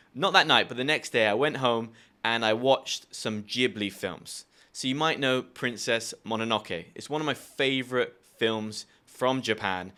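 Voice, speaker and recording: A young man with a bright, forceful tone and a middle-range pitch, talking to his viewers as a language teacher. He tells personal anecdotes and gives practical advice in a chatty, upbeat manner, full of quick asides. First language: English. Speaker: language teacher and video host. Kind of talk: friendly explanatory video lesson.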